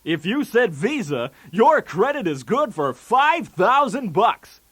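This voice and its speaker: A man's voice: high-pitched, very animated, slow, a bit bright, slightly rough, exaggerated voice. A man playing a brash, wisecracking 1980s TV game show host with showy, punchy patter. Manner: showy, jokey, with punchy delivery of gags.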